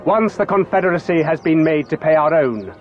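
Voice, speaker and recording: A man acts out a condescending imperial officer from a video game. His high, very animated voice offers formal, theatrical reassurance with cold menace underneath. Music plays behind the slightly muffled recording. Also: a little fast, lightly acted. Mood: smooth menace. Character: smug male imperial officer, coldly menacing, falsely reassuring. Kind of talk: video game cutscene acting.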